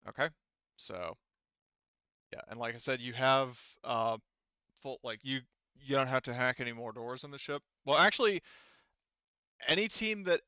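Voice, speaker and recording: Man, game master, bright tone, dry close sound, casual, rambling, self-correcting. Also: mid-range voice, normal speed, long pauses, smooth, dry recording, lo-fi sound. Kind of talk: running a tabletop roleplaying game, casual.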